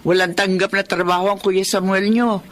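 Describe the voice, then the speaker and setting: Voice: medium pitch, level tone, moderate pace, fairly bright tone, lightly acted. A performer playing a chatty, homely mother. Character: fussing mother cooking for her son. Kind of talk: Filipino radio-style drama acting.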